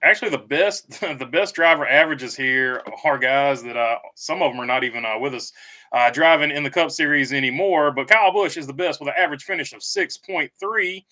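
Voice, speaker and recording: Male streamer, bright tone, halting and casual, reads viewer comments aloud. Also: fairly high pitch. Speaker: livestream host. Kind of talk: casual live stream chat.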